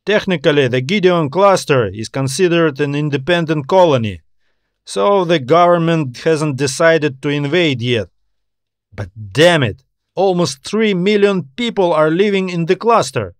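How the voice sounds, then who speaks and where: A man's voice: fairly high pitch, measured pace, no echo, studio quality, lightly acted. Tired, anxious customs officer voiced by a man.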